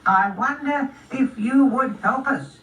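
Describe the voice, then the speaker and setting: A woman's voice: high voice, a bit bright, voice pushed up. A woman voicing a polite, proud little snail in a children's story, drawing out the words courteously. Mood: polite, deliberate. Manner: drawn-out, courteous. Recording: roomy, distant, faintly noisy recording.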